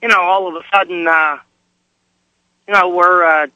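A man from Pennsylvania calling a radio show, slightly hoarse from a cold, casually telling a story. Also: high voice, fairly even intonation, normal speed, sharp tone, no echo, low-quality recording. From Pennsylvania, United States.

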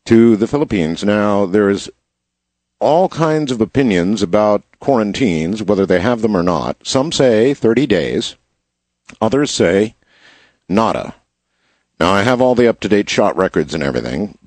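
Slightly hoarse man, lively wry delivery. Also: on the low side, no echo. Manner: wry asides while reading headlines. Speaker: show host. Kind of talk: casual news commentary.

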